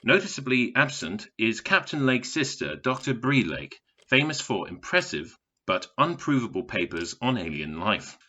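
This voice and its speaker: A polished male news reporter in an audio drama. His voice is bright and projected, with formal announcer phrasing. The broadcast sound is a little dulled. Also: medium pitch, big pitch swings, normal speed, few pauses, lo-fi sound. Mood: formal, reporting. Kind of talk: scripted news broadcast commentary.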